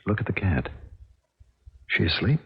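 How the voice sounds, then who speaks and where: An actor playing an earnest, astonished ordinary American man in a 1970s radio drama, halting and emphatic as he searches for words. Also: deep voice, sing-song, creaky, slightly rough, full character voice. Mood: puzzled. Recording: muffled old 1970s radio recording.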